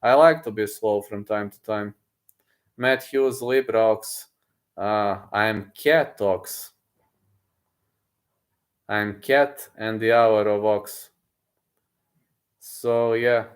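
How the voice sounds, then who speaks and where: A man, a streamer chatting casually with his viewers, boastful and excitable, often repeating himself. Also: lowish voice, drawn-out words, long pauses. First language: Serbian. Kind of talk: casual livestream chat, reading messages aloud.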